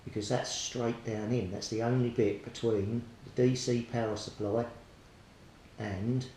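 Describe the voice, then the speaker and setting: A man's voice: fairly even intonation, a little slow, dark tone. A man giving an unscripted, methodical repair walkthrough as a hobbyist, thinking aloud as he works. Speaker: hobbyist radio repairer presenting his progress. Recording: roomy echo, clear rumbling background noise.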